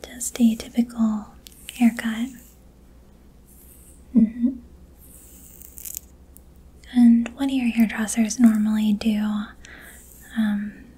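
A young woman whispering softly and gently asking questions as a barber in an ASMR haircut roleplay, recorded close to the mic in a small room. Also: a little high, level tone, takes it slowly, long pauses, breathy edge. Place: small decorated indoor room. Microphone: close studio mic in front.

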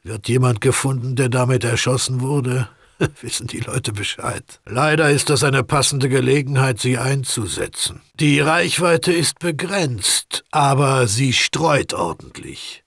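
Middle-aged game gangster armorer, gravelly, husky, dry studio sound, sharp bright tone. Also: male voice, a little slow, no echo, full character voice. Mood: chatty salesman. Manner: chatty salesman patter, turning to shrill panicked yelps. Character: friendly middle-aged male mob gunsmith, jittery when threatened. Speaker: game character voice clips. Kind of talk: German video game dub.

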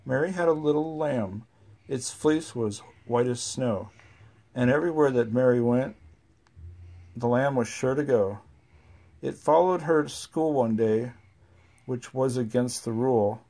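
Gravelly parent reading nursery rhymes. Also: mid-range voice, a little slow, pauses often, mellow. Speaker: parent reading to a young child. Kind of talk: reading nursery rhymes aloud, chatting with a small child.

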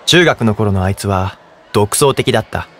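An actor playing a serious, self-assured, studious teenage schoolboy in a voice drama, speaking tersely and directly. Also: male voice, on the low side, lively intonation, normal speed, a bit bright. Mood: grave. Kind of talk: anime-style voice drama acting.

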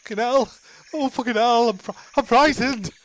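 A man's voice: extremely high pitch, normal speed, fairly bright tone, poor audio. A man doing improvised comedy role-play, complaining in a whingeing, sweary, rambling way. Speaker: comedy podcast performer.

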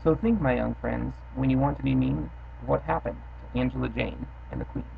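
Young adult male storytime host, casual chatter then a steady rhythmic read; gravelly voice, bedroom webcam with faint hiss. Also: mid-range voice, fairly quick, phone-quality sound. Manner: casual, offhand chat with fillers, then steady rhythmic reading. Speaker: host reading children's poems. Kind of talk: reading a rhyming poem aloud. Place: bedroom, indoors. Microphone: built-in webcam mic.

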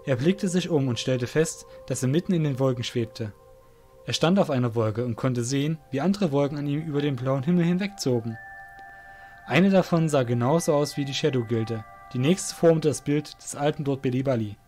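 A man's voice: medium pitch, normal speed, warm tone, faint music behind. A man narrating a scripted fantasy story as the narrator of an audio drama.

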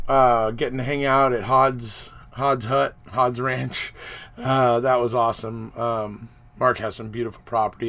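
A slightly hoarse man in his forties, a channel host, rambling casually through a trip recap with many fillers, filmed on a webcam in his home office. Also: mid-range voice, fairly bright tone, slight background noise. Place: home office room with posters.

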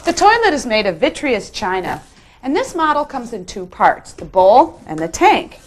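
A woman's voice: a little high, sing-song, moderate pace, slight background noise. A woman on a renovation crew explaining each installation step in a friendly way while she works. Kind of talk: hands-on how-to instruction.

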